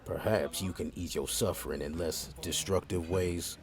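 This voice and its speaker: An actor playing a calm, supportive male agent who speaks in a measured, formal, gently wry way in an audio drama. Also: deep voice.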